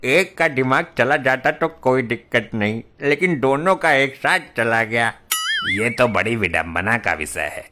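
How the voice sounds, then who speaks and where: A man's voice: lowish voice, pushed and bright. A man playing an exasperated village man in a cartoon comedy, scolding and complaining in baffled, dramatic fashion.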